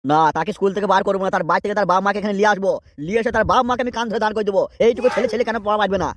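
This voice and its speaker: High-pitched stern schoolteacher played by a man. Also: steady tone, rapid-fire, dry recording, studio quality, lightly acted. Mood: stern, lecturing. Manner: lecturing, admonishing. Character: stern male village schoolteacher, scolding and threatening. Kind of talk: cartoon comedy dialogue.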